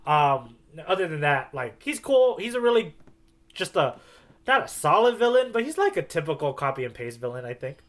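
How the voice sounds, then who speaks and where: Give a man with a slightly high voice, talking as a podcast co-host. He rambles along in casual conversation, with the enthusiasm of a fan. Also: sing-song, normal speed, a bit bright. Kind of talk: casual chat.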